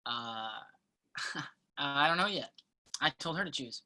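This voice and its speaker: A woman plays an earnest college student in a naturalistic play reading. The voice is low for a woman and clearly bright. The delivery is thoughtful and measured, with small hesitations as the student reasons aloud. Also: expressive, full character voice. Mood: casual, bashful.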